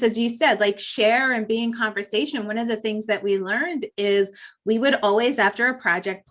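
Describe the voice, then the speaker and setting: A woman speaking as a panelist in a virtual panel discussion, with a loud voice and a loose, thinking-aloud delivery full of fillers. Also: mid-range voice. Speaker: panelist, campaign director.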